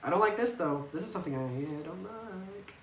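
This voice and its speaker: A man's voice: sits high, expressive, brisk. A man giving casual, reflective commentary as he plays a game, thinking aloud and recorded on a phone microphone. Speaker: video game player and narrator. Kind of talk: casual playthrough commentary, reading dialogue aloud. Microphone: phone, some distance away. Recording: roomy, noisy distant phone microphone.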